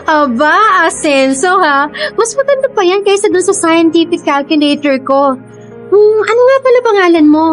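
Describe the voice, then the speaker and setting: A woman's voice: very high voice, lively intonation, a little slow, soft background music. An actress playing a teasing, coy young female college student in a Filipino radio drama. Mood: playful, coy.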